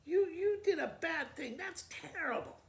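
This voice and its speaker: A woman's voice: very high voice, animated, unhurried, creaky, voice pushed up, exaggerated voice. A woman voicing bossy, lazy, scolding women of a folk-tale family, demanding and sputtering. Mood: angry, scolding. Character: bossy, scolding lazy mother and spoiled daughter. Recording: roomy echo with faint background noise.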